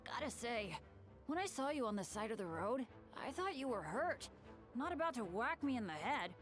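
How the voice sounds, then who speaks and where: A woman's voice: on the high side, very animated, moderate pace, soft background music. A woman voicing a plucky, eager, good-hearted young adventurer boy in an anime-style game. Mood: friendly. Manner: earnest, upbeat.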